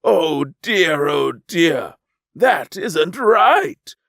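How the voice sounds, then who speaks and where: A man voicing a pompous, arrogant male alien with a grandiose, drawn-out, mocking manner. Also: fairly high pitch, big pitch swings, vocal fry, a bit bright, no room sound, studio quality, voice pushed up, exaggerated voice.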